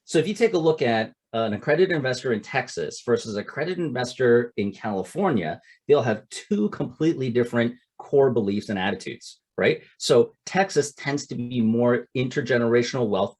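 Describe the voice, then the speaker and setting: Man, middle-aged, husky voice, mid pitch, investment coach, conversational seminar, restarts sentences. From Canada. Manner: conversational, repeats words and restarts, tags "right?". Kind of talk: seminar talk.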